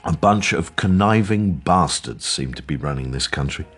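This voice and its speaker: A man's voice: a bass voice, expressive, normal speed, a bit bright, husky, soft background music, heavily acted. A British man playing a weary, disillusioned police detective in a radio drama, grumbling in plain-spoken style. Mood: bitter grumbling. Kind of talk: naturalistic radio drama acting.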